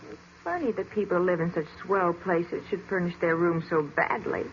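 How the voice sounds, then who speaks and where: A woman's voice: fairly low pitch, normal speed, natural voice. A woman playing an observant, curious detective's assistant in an old-time radio mystery, chatty and inquisitive. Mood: musing, critical. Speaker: actress in a radio detective drama. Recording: muffled, noisy vintage radio recording.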